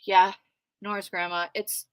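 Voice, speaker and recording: A woman giving casual, outspoken livestream commentary, loud-voiced and chatty, with plenty of fillers as she reacts to live chat comments. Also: mid-range voice, level tone, measured pace, clear, resonant tone, no room sound. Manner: conversational with frequent fillers. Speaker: true crime commentator reading live chat.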